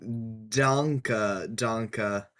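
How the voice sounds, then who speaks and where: A man's voice: lowish voice, very slow, crowd noise. A man co-hosting a casual stream chat, with a playful, mock-formal way of putting things. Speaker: podcast co-host.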